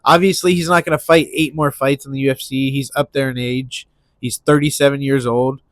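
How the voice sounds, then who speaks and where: A man's voice: mid-range voice, steady tone, fairly quick, no echo. A man with a stuffy nose hosting casual solo sports talk, rambling with lots of restarts and fillers. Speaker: MMA commentary channel host.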